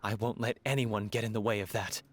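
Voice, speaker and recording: A man's voice: lowish voice, fairly even intonation, a little fast, close to an everyday voice. An actor voicing an earnest, heartbroken young man from a theatre troupe in a dramatic video game performance. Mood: bitter, determined.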